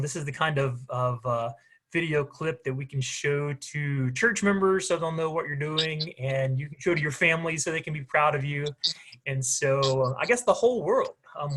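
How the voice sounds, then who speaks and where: Hesitant, encouraging minister with higher voice. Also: male voice, fairly bright tone. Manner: many ums and restarts, encouraging. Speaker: minister hosting a video conversation. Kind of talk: hesitant conversational interviewing.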